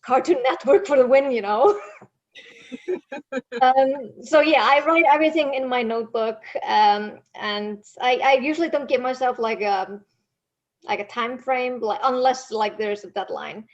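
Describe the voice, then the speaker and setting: A woman with an Icelandic accent, Icelandic being her first language, rambles cheerfully about her writing. She mocks herself often and breaks into laughter. Her voice sits a little high and comes through a dull, lo-fi video call. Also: measured pace. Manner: rambling, self-mocking, frequent laughter. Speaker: podcast guest, author. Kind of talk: casual interview chat.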